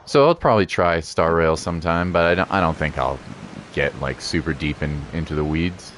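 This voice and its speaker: A man's voice: deep voice, fairly quick, a bit bright, a little hiss or hum. A man chatting casually as a game streamer, with an easygoing, rambling and playful way of talking. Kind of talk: casual livestream chat.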